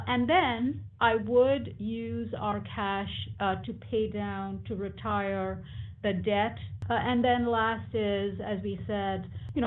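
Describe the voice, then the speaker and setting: Hesitant female executive, tinny phone line. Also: mid-range voice. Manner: halting, explanatory, many hesitations. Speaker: company executive. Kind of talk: unscripted answers on an earnings call.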